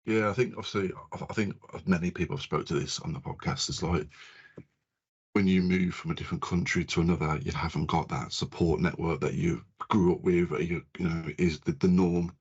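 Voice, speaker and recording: Muffled audio, deep husky guy hosting, casual and rambly. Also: fairly even intonation, brisk. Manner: rambling, informal, repeats phrases. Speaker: podcast host. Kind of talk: casual chat. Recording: dull, lo-fi sound.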